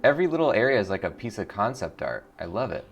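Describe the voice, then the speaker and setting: A man's voice: on the low side, sing-song, fast talker, vocal fry. A man giving casual, enthusiastic game commentary as a show host, speaking informally and reading on-screen text aloud. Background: faint music.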